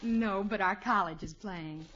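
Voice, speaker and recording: A woman's voice: medium pitch, measured pace, a bit bright, lightly acted. A woman playing a friendly, kind young married niece in a breezy 1950s radio sitcom style. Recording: dull vintage 1950s radio recording.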